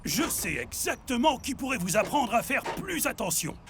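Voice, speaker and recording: An animated old grandpa truck in a children's cartoon, swooping widely in pitch over music. He guides the youngsters with theatrical, encouraging cheer. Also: normal speed, exaggerated voice. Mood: questioning, patient. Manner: theatrical, instructive. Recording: music behind the voice.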